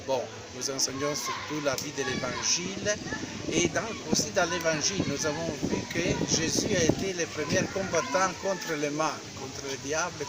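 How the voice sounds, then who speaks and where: An Italian man, a priest, speaking French as a second language and pausing often to find his words. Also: fairly high pitch, very noisy. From Italy. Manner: halting, with frequent hesitations. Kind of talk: interview statement.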